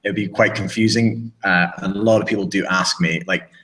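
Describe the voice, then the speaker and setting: A man's voice: low voice, steady tone, brisk, a bit bright. A British man co-hosting a podcast, talking casually and rambling with many restarts and fillers. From Britain. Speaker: podcast co-host. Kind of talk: casual chat. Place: living in a small town in southern Spain.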